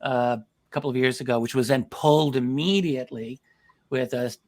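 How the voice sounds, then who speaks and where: A man with a medium-pitched voice hosts an interview in a conversational style. He phrases things thoughtfully and a little hesitantly, often pausing and doubling back on his words. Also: steady tone, darkish tone. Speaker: host interviewing a documentary filmmaker.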